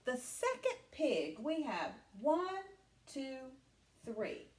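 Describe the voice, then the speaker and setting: Female early-years teacher, high voice with very lively intonation, patient question-and-pause delivery. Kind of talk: read-aloud and question lesson for young children.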